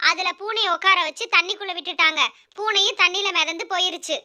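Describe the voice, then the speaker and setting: A woman's voice: very high voice, quick pace, bright, forceful tone. A woman narrating a scripted children's animal story.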